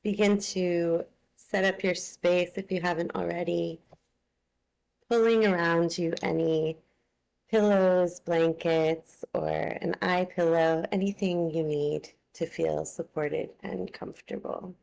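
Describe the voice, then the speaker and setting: A woman teaching yoga nidra, leading a guided relaxation in a soothing, unhurried, gently instructing manner. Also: on the low side, fairly even intonation, gravelly.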